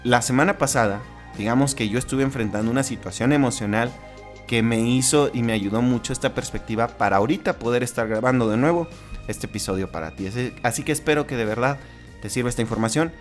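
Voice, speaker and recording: A man's voice: medium pitch, quick pace, soft background music. Chatty Mexican man hosting a podcast. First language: Spanish. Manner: conversational, with frequent restarts and tag questions. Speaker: podcast host. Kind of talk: casual solo podcast monologue.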